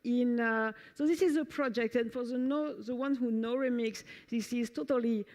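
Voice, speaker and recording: A woman's voice: fairly high pitch, normal speed, darkish tone. A woman from France with a French accent, presenting a technical talk with a live demo at a conference, enthusiastic and full of fillers and restarts. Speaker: conference speaker. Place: conference stage before an audience.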